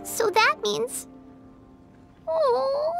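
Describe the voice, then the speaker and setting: A woman voicing a tiny, excitable fairy girl in a video game, childlike and chirpy, full of exclamations. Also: very high-pitched, extremely slow, gravelly, music in the background, full character voice. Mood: surprised, concerned. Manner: childlike, exclaiming, refers to herself in third person. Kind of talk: animated video game voice acting.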